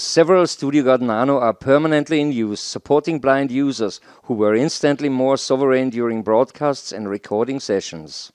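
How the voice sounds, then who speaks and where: A middle-aged man gives a formal, deliberate scripted presentation into a headset mic in a small studio. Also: medium pitch, a little mellow, no room sound. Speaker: presenter, audio educator. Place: small home studio, indoors.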